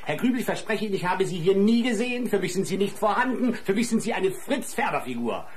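A man's voice: high-pitched, lively intonation, fast. An actor playing a talkative, mocking man in a radio drama, teasing with pompous rhetorical questions. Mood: mocking, theatrical. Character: theatrical, mocking male acquaintance, talkative. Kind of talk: German radio crime drama acting.

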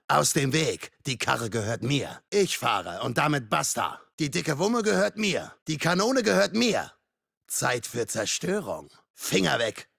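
Gravelly masked killer for a game, taunting and menacing, voice swings all over, super dry recording. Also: male voice, mid-range voice, a bit bright, no room sound, full character voice. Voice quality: raspy, gravelly. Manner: threatening, mocking, theatrical. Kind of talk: video game combat voice lines.

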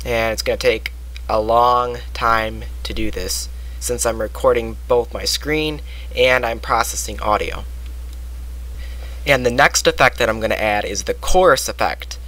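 A man narrating a software tutorial step by step, recorded close on a computer microphone. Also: medium pitch, measured pace, rough recording. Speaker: tutorial presenter. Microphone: close computer microphone, voice-over.

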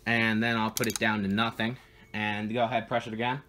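A man with a fairly low, very bright and forward voice hosts an informal tech demonstration. He explains things in a matter-of-fact way, speaking indoors at home. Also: level tone, fairly quick, a little hiss or hum. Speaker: video host demonstrating equipment.

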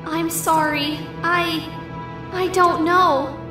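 Female cartoon oracle played by a woman: high, solemn, measured, formal, sounding far off with music behind. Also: steady tone, exaggerated voice. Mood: apologetic. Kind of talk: cartoon fan dub acting. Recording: distant echoing voice under music.

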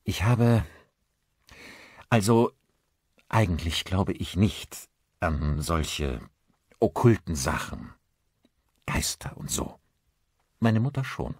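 A man's voice: sits low, animated, takes it slowly, long pauses, husky, no room sound, studio quality, heavily acted. A man voicing an uneasy woman in an audiobook, hesitant and searching for words. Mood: embarrassed.

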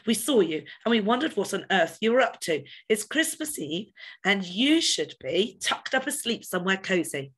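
A woman's voice: mid-range voice, sing-song, moderate pace, a bit bright, husky. Woman doing a chatty fussy mother pigeon. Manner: rambling, breathless chatter.

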